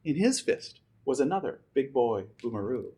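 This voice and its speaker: A man reads a rhyming children's story in a theatrical way. His pitch is medium and highly animated, rising and falling with the drama. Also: moderate pace, slightly rough. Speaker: storybook reader. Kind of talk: expressive rhyming children's story read aloud to students.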